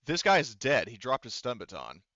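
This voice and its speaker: A man's voice: mid-range voice, wildly swooping pitch, moderate pace, pushed and bright, dry recording, dull audio. A man running a tabletop roleplaying game as game master, explaining things casually and hesitantly.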